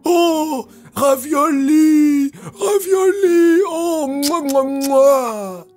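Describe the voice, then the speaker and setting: A very high, squawking bird from an audio story, frantic and excitable. It shrieks the same words again and again over faint music. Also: male voice, very slow, heavily acted. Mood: overjoyed.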